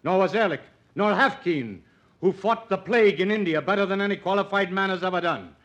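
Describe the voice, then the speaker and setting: Earnest, defiant physician, old-radio sound. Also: male voice, high-pitched, a little fast, a bit bright. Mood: impassioned, defiant. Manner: commanding, impassioned, oratorical. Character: earnest, defiant idealistic physician pleading his cause. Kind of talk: old-time radio drama acting. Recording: dull vintage radio recording.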